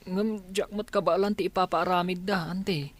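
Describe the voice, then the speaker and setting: Discouraged son: young man, slightly high voice, plaintive, radio drama. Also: fairly even intonation, normal speed. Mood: downhearted. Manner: plaintive, pleading, hesitant. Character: discouraged teenage son who dreads college.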